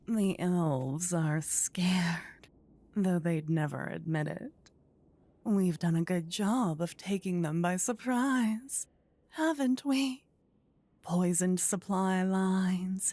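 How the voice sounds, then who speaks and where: A woman's voice: mid-range voice, extremely slow, warm tone, dry recording. A woman voicing a possessive, teasing demon queen in a fantasy ASMR audio roleplay, theatrical and gloating. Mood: gloating, rallying.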